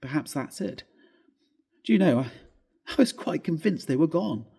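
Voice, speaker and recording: A man's voice: a little high, animated, moderate pace, gravelly, mellow, slightly rough, pitched above the performer's own voice, full character voice. A man reading a ghost story, voicing an overwrought husband in an agitated, earnest, theatrical way. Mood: relieved, sheepish.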